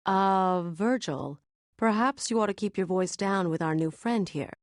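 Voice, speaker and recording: An actress playing a young elf princess in a video game, composed and gracious, with measured, polite speech. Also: female voice, medium pitch, no echo, studio quality, natural voice. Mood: gentle, respectful. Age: young adult.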